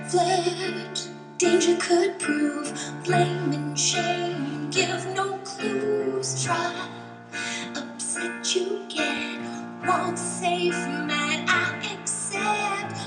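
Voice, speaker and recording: A woman singing a slow, drawn-out ballad. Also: extremely high pitch, fairly even intonation. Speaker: singer. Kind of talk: sung ballad. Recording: very reverberant song recording over loud music.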